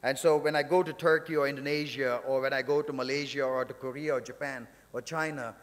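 A man's voice: mid-range voice, fairly even intonation, brisk. A man giving a conference speech as session chair, conversational yet emphatic, repeating phrases for emphasis. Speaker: session chair and speaker at a technology summit. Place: large indoor conference hall, on stage. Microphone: podium gooseneck microphones. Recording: reverberant hall sound through podium microphone.

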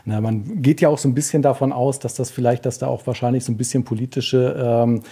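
A man with a very husky voice, commenting casually and knowledgeably, with some hesitation and tags seeking agreement. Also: mid-range voice, fairly even intonation, quick pace, warm tone. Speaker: author, podcast guest. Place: indoors in a museum.

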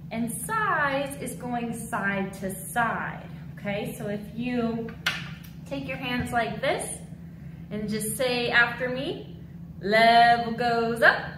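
A woman in her thirties teaching a dance lesson to children, with an animated, encouraging, clearly enunciated delivery. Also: fairly high pitch, noisy background. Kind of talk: instructional video lesson for children. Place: large mirrored dance studio indoors.

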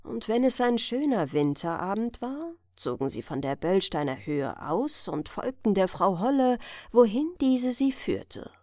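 A woman narrates a bedtime fairy tale at an unhurried pace. Her mid-pitched voice rises and falls in a lively way as she tells the story expressively, and the sound is dry and close, with little room around it. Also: no room sound.

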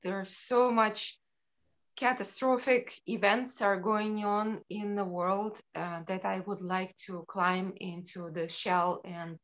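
A middle-aged woman from Ukraine presenting her sculptures over a video call, unhurried and halting with frequent ums. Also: mid-range voice, fairly even intonation, a bit bright.

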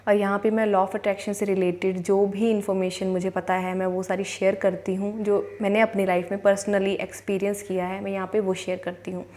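A young woman gives an earnest motivational talk to camera, close and clear on a lapel mic. She slows down to repeat her affirmations, and her pitch sits in a middle range for a woman. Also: level tone, mellow. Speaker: law of attraction coach. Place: indoors, in front of a plain wall. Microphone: clip-on lapel mic.

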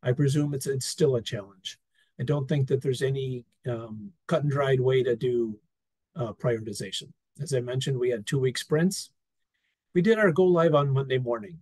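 A man presenting an experience report over slides on a video call, talking in a relaxed, wry way with fillers and restarts. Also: mid-range voice, normal speed, no room sound. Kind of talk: conversational talk over slides.

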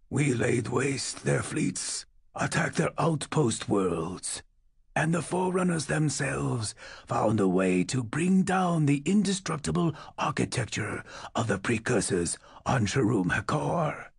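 A man voicing a grim, ancient, ghostly figure in an audiobook, raspy, breathy and half-whispered. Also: medium pitch, sing-song, takes it slowly, no room sound, clean studio sound, heavily acted. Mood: grim, proud. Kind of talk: audiobook character voice.

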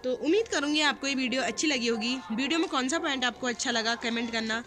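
A young woman from the hills of northern India talks to viewers in a chatty, homely way, filming herself with a phone held at arm's length. Her voice sits a little high. She is outdoors on a rooftop. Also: quick pace, over music. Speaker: vlogger. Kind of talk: casual vlog talk to camera. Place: rooftop outdoors in hill town. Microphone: phone camera at arm's length.

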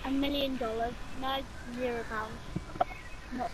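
A woman's voice: sits high, fairly even intonation, a little slow, slight background noise, rough recording. A woman on game voice chat, teasing and doubting another player. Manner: teasing, skeptical. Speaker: online game teammate.

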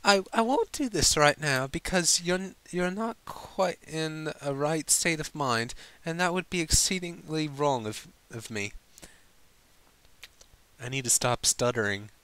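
A man's voice: fairly high pitch, lively intonation, fairly bright tone, smooth, no room sound, pitched above the performer's own voice. A man voicing a prim, proper female unicorn from a storybook, who speaks formally and stammers when flustered. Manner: halting, stammering, fussily formal.

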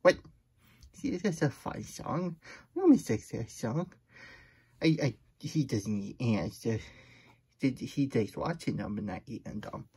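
A man's voice: mid-range voice, big pitch swings, measured pace, pauses often, darkish tone, breathy edge, full character voice. A man voicing a silly, childlike plush hedgehog who reads storybooks aloud in babyish broken grammar and gets easily flustered. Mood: silly, muttering. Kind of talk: playful storybook read-aloud.

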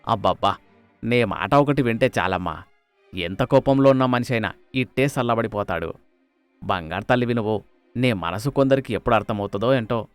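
A man's voice: mid-range voice, normal speed, smooth, no echo, soft background music, lightly acted. An outspoken male servant from a cartoon moral tale, put on by a male narrator. He is folksy, sarcastic and loyal, taunting his betters. The sound is dry and close. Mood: affectionate. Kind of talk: animated moral story dialogue.

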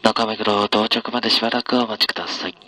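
A man's voice: fairly low pitch, steady tone, fast, bright, forceful tone. A man, a train driver, making a live onboard announcement over the train's speaker system.

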